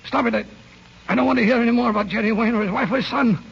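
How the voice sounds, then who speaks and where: A wistful, stubborn elderly recluse in a 1940s English-set radio drama. His high voice creaks and hesitates theatrically. The recording is a dull, noisy old broadcast. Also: fairly even intonation, very fast, a bit bright, exaggerated voice. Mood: agitated.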